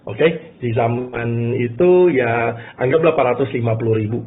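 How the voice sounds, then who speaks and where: A man's voice: mid-range voice, moderate pace. A man from Malaysia presents in an informal, conversational way, telling his own story. He keeps checking in with listeners with short tag questions. The sound is narrow and muffled, like a phone call. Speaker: business presenter. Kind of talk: informal motivational talk telling a personal story.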